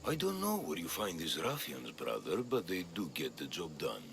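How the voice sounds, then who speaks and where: Pampered male aristocrat, game cutscene: low, gravelly, pompous, indignant, score underneath. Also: wildly swooping pitch, moderate pace, fairly bright tone, exaggerated voice. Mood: disdainful. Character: haughty, pampered rich man, rescued captive. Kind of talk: video game cutscene acting. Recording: music behind the dialogue.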